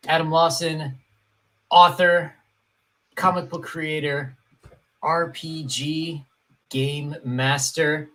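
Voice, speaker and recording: A man's voice: on the high side, bright, forceful tone, low-quality recording. A man hosting an interview, asking questions in a friendly, conversational way. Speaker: podcast host. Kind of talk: casual interview chat.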